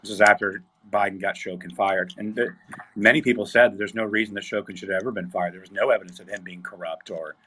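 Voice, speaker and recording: A man hosting a live audio chat room, his voice weak and hoarse after being sick, talking haltingly with fillers and restarts. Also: fairly low pitch, fairly even intonation, fairly bright tone. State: voice weak, recovering from illness.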